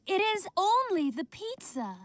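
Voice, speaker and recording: A woman's voice: very high-pitched, big pitch swings, measured pace, heavily acted. A woman voicing a sweet alien teen princess who speaks with oddly formal, earnest phrasing in a cartoon. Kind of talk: cartoon voice acting.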